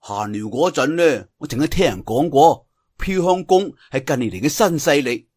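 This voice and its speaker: A man plays a young Cantonese-speaking swordsman from a wuxia audiobook, curious and easily amazed. His fairly high, slightly husky voice swings up into questions and exclamations. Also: lively intonation, moderate pace, darkish tone. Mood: puzzled, curious.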